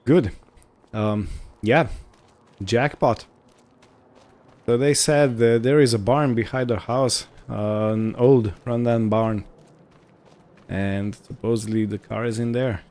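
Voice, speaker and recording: Slow-talking, mellow man, low-voiced. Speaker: host of a roleplay gaming series. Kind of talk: in-character gameplay narration, casual and improvised.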